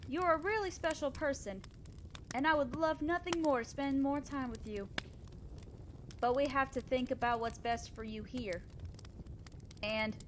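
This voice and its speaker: A fairly high female voice over some background noise , an actress in role as a kind centaur wanderer. She talks straight to the listener in an audio roleplay. Her delivery is earnest and gentle, with the hesitance of someone selfless who doubts herself.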